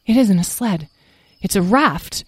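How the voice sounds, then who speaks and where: Woman doing a high earnest stable boy. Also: lively intonation, normal speed, darkish tone, no room sound, studio quality, natural voice. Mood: alarmed. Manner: tearful, stammering. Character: earnest young stable boy, kind-hearted and self-doubting. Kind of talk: storybook character voice.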